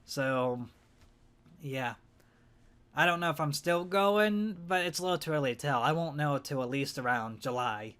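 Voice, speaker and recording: Hoarse, stuffed-up young man vlogging. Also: on the high side, normal speed, fairly bright tone. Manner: rambling, hesitant, self-conscious. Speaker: gaming channel vlogger. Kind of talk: casual monthly vlog.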